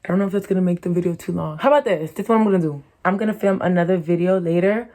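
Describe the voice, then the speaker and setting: A young American woman from Connecticut talks straight to her viewers in a loose storytime. Her voice sits slightly low, with her own hard-to-place accent. She is blunt and profane, and her talk is strung together with "like" and "you know". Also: rapid-fire, dark tone. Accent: her own distinctive American accent. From Connecticut, USA. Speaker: YouTuber. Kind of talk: candid storytime monologue.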